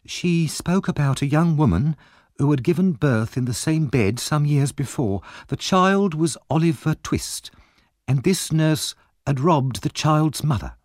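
A man putting on a bold, calm woman. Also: a little high, a little slow, no echo, studio quality, voice pushed up. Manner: raised, firm, unafraid.

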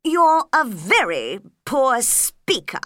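A woman voicing a stern, impatient king presiding over a trial, loud and commanding. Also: fairly high pitch, sing-song, slow, no echo, clean studio sound, voice pushed up, exaggerated voice. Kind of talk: storybook character voice.

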